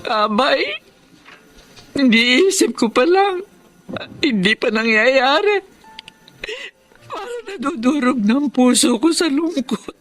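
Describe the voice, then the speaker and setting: A woman's voice: high voice, expressive, slow pace, pauses often. An actress playing a tender, motherly elderly Filipino grandmother in a sentimental drama, with a plaintive delivery. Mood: heartsick, resigned. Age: older. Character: tender elderly grandmother, heartsick yet supportive. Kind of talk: sentimental radio drama acting.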